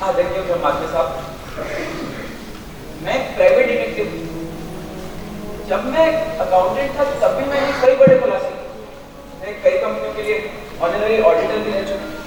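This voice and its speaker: A man's voice: high-pitched, normal speed, long pauses, natural voice. A man acting in a stage play as a humble retired accountant turned private detective, an adoring fan who speaks in an earnest, deferential, flowery way. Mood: pleading, earnest. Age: older. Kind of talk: theatrical Hindi stage acting. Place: stage in an auditorium. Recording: distant mic in echoey noisy hall.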